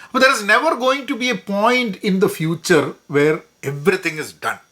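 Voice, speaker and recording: A man's voice: sits high, sing-song, very slow, bright, forceful tone. A man with a Malayali accent giving a casual, explanatory book review, mixing in English phrases and repeating words for emphasis.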